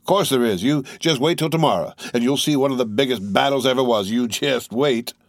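A man's voice: mid-range voice, fairly quick, no echo, voice pushed up, natural voice. A man reading aloud and voicing a boastful tall young soldier who talks in a drawling, folksy way. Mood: insistent. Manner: drawling, folksy, sure of himself.

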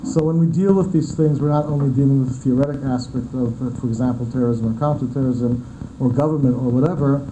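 Man from Israel, American accent, guest lecturer, frequent uhs, echoey hall, background noise. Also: medium pitch. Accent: American English. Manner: many filler uhs, earnest. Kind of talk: university lecture. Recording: echoey room, noisy.

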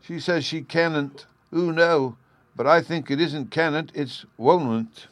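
A man's voice: fairly high pitch, slow, no room sound, voice pushed up. A man voicing a stubborn, cheeky little boy who lisps in baby talk, reading a storybook. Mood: insistent. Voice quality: childish lisp, says oo for you. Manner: insistent, bouncy.

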